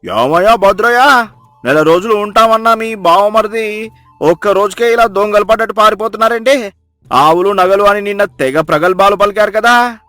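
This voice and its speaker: A man voicing a jovial, teasing village neighbour in an animated moral story, loud and hearty. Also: sits high, normal speed, dry recording, soft background music, voice pushed up. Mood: teasing, delighted.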